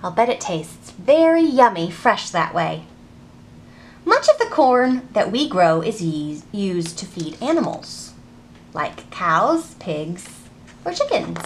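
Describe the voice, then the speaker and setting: A woman in her thirties hosting a children's storytime, reading aloud and teaching in an animated, deliberate, sing-song way. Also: on the high side, slight background noise. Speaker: children's librarian and storytime host. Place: public library children's section.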